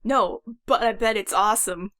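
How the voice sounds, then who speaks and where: A woman playing a calm, dry-witted young male inventor in an audio drama. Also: mid-range voice, normal speed, lightly acted. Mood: upbeat, matter-of-fact.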